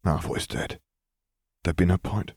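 Man as a calmly menacing young wizard. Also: deep voice, a little slow, gravelly, breathy edge, dry recording, clean studio sound, heavily acted. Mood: cold, probing.

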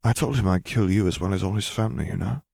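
Man as a calmly menacing young wizard. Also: low-pitched, fast talker, vocal fry, no room sound, clean studio sound. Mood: cool menace.

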